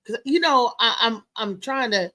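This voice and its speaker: A woman hosting a casual live show as a comedian, talking in a loud, lively, chatty way with lots of exclamations. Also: fairly high pitch, normal speed. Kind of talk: casual live-stream chat and promotion.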